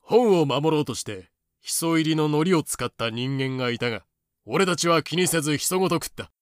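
A man's voice: fairly high pitch, expressive, brisk, no room sound, clean studio sound, lightly acted. A man voicing a confident, matter-of-fact cockroach, explaining in casual masculine phrasing for a fan-made voice drama. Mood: cool, matter-of-fact.

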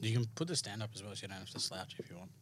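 A man's voice: on the low side, expressive, racing, fairly bright tone. A young man co-hosting a podcast in a laid-back, loose way. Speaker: podcast co-host. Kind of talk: casual podcast banter.

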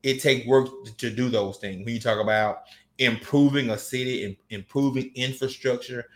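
A man's voice: mid-range voice, steady tone, moderate pace. Laid-back Southern man rambling in chat. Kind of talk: casual podcast chat.

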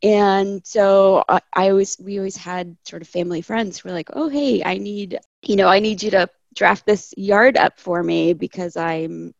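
Young woman from Chile, gravelly chatty guest, dry muffled recording. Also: mid-range voice, steady tone, moderate pace, hardly any pauses, no echo. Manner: chatty, laughs often, quotes people in asides. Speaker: guest, landscape architect. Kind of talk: casual interview conversation.

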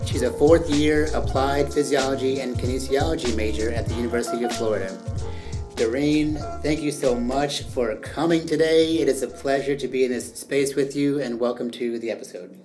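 A young man hosting an educational interview podcast, reading prepared definitions and asking measured questions. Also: mid-range voice, over music. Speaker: podcast host. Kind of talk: semi-scripted educational interview.

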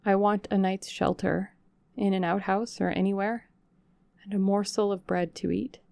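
A worn-out young woman begs and implores, as the reader voices her, her pleading rising in pitch. The voice carries a gravelly fry and sounds close and dry. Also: little pitch movement, normal speed, dark tone, no room sound, lightly acted. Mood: pleading, desperate.

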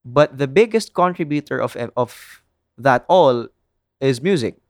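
A man's voice: medium pitch, animated, measured pace, dry recording, studio quality. A man with a Filipino English accent, chatting casually and giving opinions with lots of "like" fillers. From Philippines. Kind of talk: casual chat.

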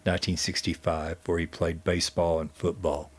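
A man telling stories conversationally as a guest, speaking in a halting way with frequent pauses. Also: low voice, little pitch movement. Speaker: guest storyteller and history teacher. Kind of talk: conversational storytelling.

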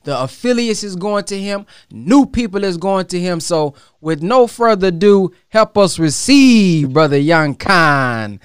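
Smooth-voiced man hyping a guest. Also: high voice, measured pace, hardly any pauses, dry recording, clean studio sound. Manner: energetic hype introductions. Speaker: host. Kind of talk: hosting an interview.

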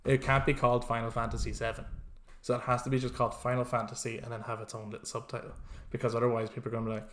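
A man co-hosting a podcast, chatting casually with animated, sweary rants and acted-out reactions. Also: medium pitch, very fast. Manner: animated, sweary ranting, acts out imagined reactions. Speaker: podcast co-host. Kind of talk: casual chat about films and games.

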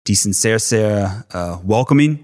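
A man from Texas with an American accent, a football coach being interviewed on a podcast, speaking halting German with English words mixed in and many fillers. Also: fairly low pitch, expressive, a little mellow. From Texas, USA. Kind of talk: casual interview.

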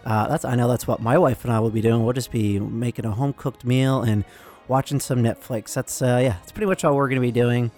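A young man hosting a casual news podcast, hoarse from a cold, rambling with lots of fillers. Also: mid-range voice, fairly even intonation, brisk, a little mellow, faint music behind. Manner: rambling, filler-heavy, apologetic. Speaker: podcast host.

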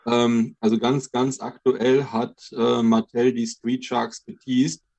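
A man's voice: mid-range voice, little pitch movement, slow pace, creaky, a little mellow. A man co-hosting a podcast, talking casually and conversationally as a fellow collector. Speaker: podcast co-host. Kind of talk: casual chat among collectors. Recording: dull, lo-fi voice connection.

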